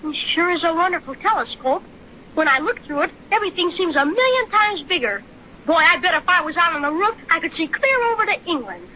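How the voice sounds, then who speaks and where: A woman's voice: very high-pitched, brisk. A woman playing an eager, curious young boy in a 1930s radio drama. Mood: excited. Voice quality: excitable, exclaiming. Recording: dull old radio transcription with faint hiss.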